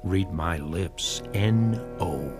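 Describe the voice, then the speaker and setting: A hard-boiled American gangster in a radio play. His voice is very low, curt and cocky, with a defiant wisecracking edge. Music plays clearly behind him. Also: drawn-out words. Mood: defiant, threatening. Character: cocky, defiant, tough gangster in fancy shoes. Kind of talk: radio drama acting.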